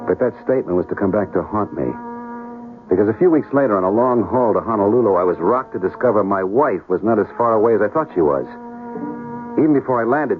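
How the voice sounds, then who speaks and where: A man's voice: lowish voice, close to an everyday voice. An actor playing a cynical, philandering American military pilot who tells his own story in a radio drama. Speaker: lead actor and first-person narrator. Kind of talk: old-time radio drama acting and narration. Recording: muffled radio recording with music.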